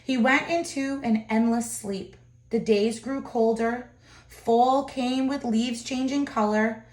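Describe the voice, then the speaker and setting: A woman with a fairly high voice reads a children's storybook aloud. She is expressive and adds small asides for her listeners. The room around her is clearly echoey. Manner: expressive, pausing to comment to listeners. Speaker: storybook reader. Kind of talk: children's picture book read-aloud with asides.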